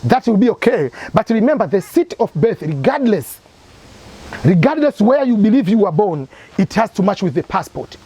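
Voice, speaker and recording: A man's voice: high-pitched, sing-song, normal speed, warm tone, husky. A man from Tanzania, hosting an advice channel and explaining his points through repeated examples. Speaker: immigration advice channel host.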